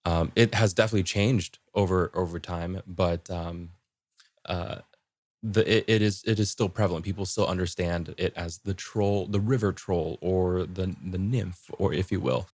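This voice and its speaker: A man explaining folklore in casual conversation as a podcast guest, haltingly rephrasing and repeating himself as he goes. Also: low voice, fairly even intonation, a little mellow, no room sound.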